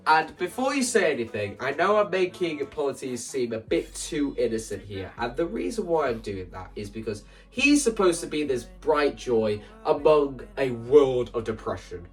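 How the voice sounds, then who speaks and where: A man's voice: mid-range voice, sing-song, moderate pace, hardly any pauses, far from the mic, faint music behind. A man talking casually in an enthusiastic, rambling way, often interrupting himself as he explains his ideas. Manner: enthusiastic, rambling, self-interrupting, acts out quoted lines. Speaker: video creator. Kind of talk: casual explanatory vlog about staging a musical.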